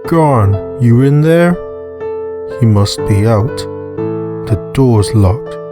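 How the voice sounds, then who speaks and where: A man's voice: mid-range voice, lively intonation, very slow, music in the background. A man voicing a nervous amateur sleuth in a visual novel, hesitant and muttering to himself. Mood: pensive. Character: Dag, nervous male amateur sleuth thinking aloud.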